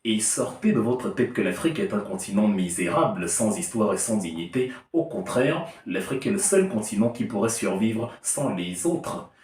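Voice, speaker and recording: A man with a fairly low voice delivers an argumentative, emphatic commentary laced with irony. He sits some distance from the mic in a reverberant room, so his words carry a roomy echo. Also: expressive, moderate pace, a little mellow. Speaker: political commentator. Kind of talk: opinion monologue to camera.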